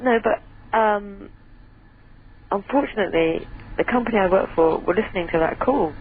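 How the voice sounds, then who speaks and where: A woman calling in to a radio phone-in, chatty and giggly, her voice a little hoarse. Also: mid-range voice, normal speed, slight background noise. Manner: chatty, giggly, self-deprecating. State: voice going hoarse. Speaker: caller. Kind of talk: phone-in chat. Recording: tinny phone line.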